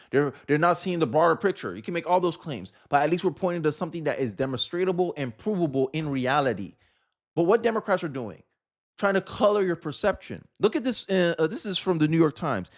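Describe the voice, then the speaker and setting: A man hosting political commentary, talking casually and emphatically to viewers with repeated phrases and rhetorical questions. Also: on the high side.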